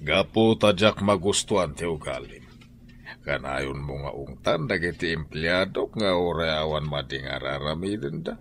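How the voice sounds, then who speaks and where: A man's voice: very deep voice, lively intonation, a little slow, a bit bright, a little hiss or hum, full character voice. An actor with an Ilocano accent playing a stern wealthy husband who lectures his wife in a radio drama. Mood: reproachful.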